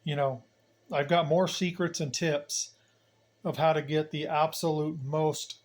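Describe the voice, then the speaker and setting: A man's voice: fairly high pitch, flat delivery, a little slow. A man giving a casual hands-on demonstration as a hobbyist host, plain-spoken and colloquial, with emphatic turns of phrase.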